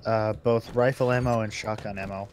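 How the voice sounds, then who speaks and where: Male co-player, fairly low voice, casual gaming talk, slight background noise. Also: fairly even intonation, normal speed, darkish tone. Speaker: co-player on a game stream. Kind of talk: casual chat while gaming. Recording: faintly noisy online game chat.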